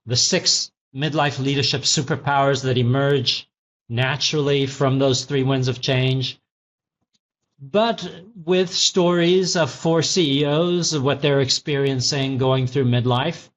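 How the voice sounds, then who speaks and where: A man's voice: mid-range voice, fairly even intonation, slow pace. Thoughtful middle-aged man, teacherly. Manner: thoughtful, many ums and restarts, teacherly. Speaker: guest, former tech CEO turned executive coach. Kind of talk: interview answers, reflective storytelling. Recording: slightly dull lo-fi audio.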